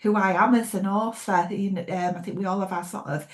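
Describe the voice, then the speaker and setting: A woman's voice: medium pitch, steady tone, brisk. A middle-aged woman with a Yorkshire accent, a thriller author chatting casually in an interview, often restarting her sentences. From Yorkshire, England. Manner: chatty, hesitant with many restarts and laughs.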